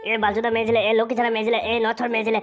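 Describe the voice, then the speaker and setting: A woman's voice: fairly high pitch, completely flat delivery, racing, highs cut off, faint music behind, natural voice. A woman voicing a bullying, foul-mouthed husband in a comedy cartoon, ranting and threatening with a West Bengal accent. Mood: furious, threatening. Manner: ranting, threatening, repeats himself. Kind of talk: amateur cartoon comedy squabbling.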